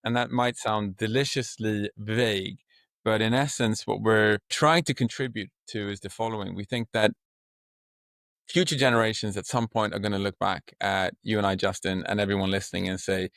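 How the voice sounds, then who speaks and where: A man's voice: lowish voice, steady tone, moderate pace, vocal fry, fairly bright tone, no room sound, studio quality. A middle-aged man from Sweden with a Swedish accent, a podcast guest speaking expansively and in vivid images. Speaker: guest, company co-founder. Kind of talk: podcast interview.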